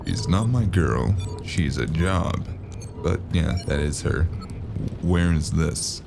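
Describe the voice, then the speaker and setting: A man playing a weary, gruff detective in a noir audio drama, speaking in a dry, terse, gravelly way. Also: extremely low pitch, animated, takes it slowly, over music, heavily acted. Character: weary gruff male noir detective narrating his cyberpunk case. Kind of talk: hard-boiled noir audio drama narration and dialogue.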